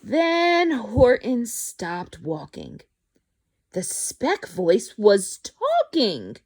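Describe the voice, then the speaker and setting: High-voiced, unhurried woman storyteller. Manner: expressive, commenting to young listeners. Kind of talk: reading a children's picture book aloud.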